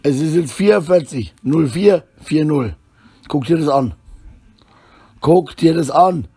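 Middle-aged man, rough husky voice, rambling slangy selfie monologue on a phone, coarse everyday phrasing. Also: on the high side, expressive, takes it slowly, mellow. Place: small indoor room at home. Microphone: phone at arm's length.

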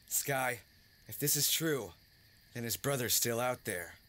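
An actor playing a loyal, easygoing young friend in an audio drama, with a steady, casual delivery. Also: male voice, mid-range voice, measured pace. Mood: supportive.

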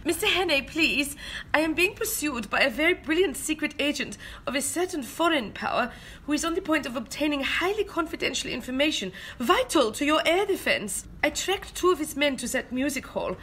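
A woman's voice: high-pitched, lively intonation, brisk, sharp tone. A woman playing a grave, melodramatic foreign secret agent who slips into German exclamations in an amateur radio play. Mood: grave, insistent. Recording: homemade recording with noticeable noise.

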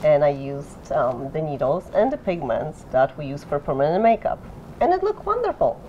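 A woman's voice: sits low, big pitch swings, moderate pace, lo-fi sound, a little hiss or hum. A middle-aged woman from Poland, a guest explaining permanent makeup in an interview at a conference, with a friendly, agreeable manner. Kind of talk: interview answers. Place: conference room, indoors.

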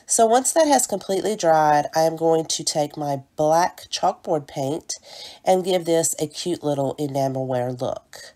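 A woman's voice: deep voice, expressive, a little slow, mellow. A woman with a cold and a stuffy nose, narrating a chatty crafting tutorial as the channel's host. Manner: chatty, apologetic, fond of "little" and "cute".

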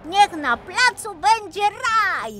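A woman's voice: extremely high pitch, very animated, takes it slowly, music in the background, voice pushed up, full character voice. A woman voicing a bold, playful five-year-old girl who casts spells in a singsong, childlike way in a children's story. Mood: playful, eager.

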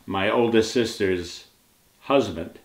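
An older man recalling his wartime years, halting with frequent pauses, recorded in a living room. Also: fairly low pitch. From Brooklyn, New York. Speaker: interviewee. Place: indoor living room, on a sofa. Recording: roomy sound with clear background noise.

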